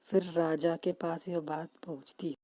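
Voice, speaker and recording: A woman's voice: on the low side, little pitch movement, moderate pace, dark tone, dry recording, studio quality. A woman narrating a children's story as the storyteller.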